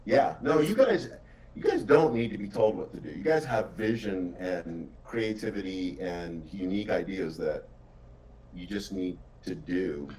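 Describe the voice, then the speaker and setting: A middle-aged man with a fairly low voice. He talks informally and with enthusiasm, encouraging the people he works with. He sounds slightly off the microphone. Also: unhurried, a little mellow, recorded from a distance, a little hiss or hum, rough recording. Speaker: game developer and publisher. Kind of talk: casual developer chat.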